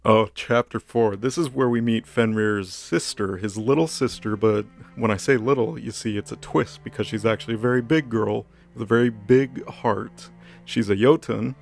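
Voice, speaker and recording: A man casually retelling a story in a rambling, mock-serious deadpan, improvising dialogue for comic effect. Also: lowish voice, normal speed, vocal fry, soft background music. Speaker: co-host retelling a story recap.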